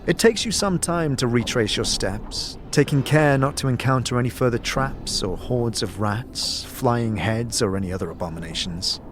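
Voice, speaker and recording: A man narrating a dark fantasy audio drama in the second person, with measured, solemn, dramatic storytelling. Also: mid-range voice, lively intonation, background noise. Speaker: narrator and game master. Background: music.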